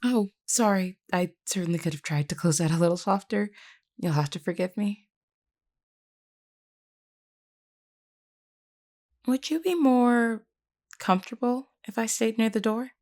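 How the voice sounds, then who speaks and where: A woman playing a gentle, courteous vampire queen in an intimate audio roleplay, speaking politely and reassuringly. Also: mid-range voice, moderate pace, natural voice. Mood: courteous, careful. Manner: polite, soft-spoken reassurance with hesitant asides.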